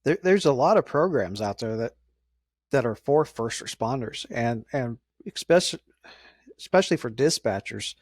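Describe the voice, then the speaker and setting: A man answers as an interview guest in a medium-pitched voice with very little room sound. He talks informally and carefully, hesitating and feeling his way through each phrase. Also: lively intonation, dry recording, studio quality. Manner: measured, hesitant, halting phrasing. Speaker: emergency dispatcher, interview guest.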